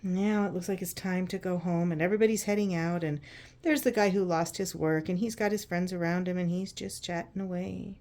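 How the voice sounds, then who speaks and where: A woman narrating a picture book to children in an expressive, conversational way, using simple explanations and checking in with questions. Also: fairly low pitch, a little fast. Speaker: children's read-aloud host.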